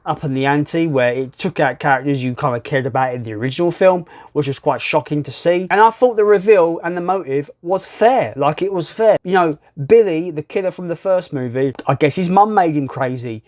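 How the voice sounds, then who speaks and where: A man with a slightly high-pitched voice hosts a casual film ranking. He talks bluntly and swears freely. He often repeats words and phrases to drive a point home. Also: brisk, few pauses, darkish tone. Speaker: film reviewer, video host.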